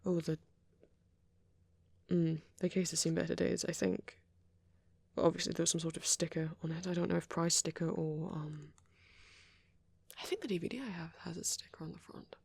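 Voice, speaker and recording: A man doing a soft, often whispered ASMR roleplay as a shy, gentle young vampire boyfriend, rambling hesitantly in a breathy, husky voice. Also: on the high side, moderate pace, long pauses, dry recording.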